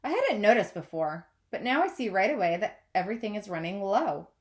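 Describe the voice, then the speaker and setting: A woman's voice: medium pitch, big pitch swings, fairly quick. Woman teacher reading a storybook aloud. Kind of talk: scripted storybook narration.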